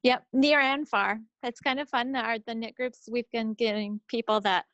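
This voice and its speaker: A woman's voice: on the high side, fairly even intonation, moderate pace, fairly bright tone, no room sound. A woman chatting casually as a friendly podcast co-host, speaking unscripted on slightly lo-fi audio. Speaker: co-host of a knitting and crafts podcast.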